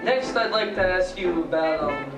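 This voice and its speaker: A young man playing a polite, admiring radio interviewer who asks questions haltingly in a sketch. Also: high-pitched, lively intonation. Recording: roomy distant mic under music. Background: instrumental music.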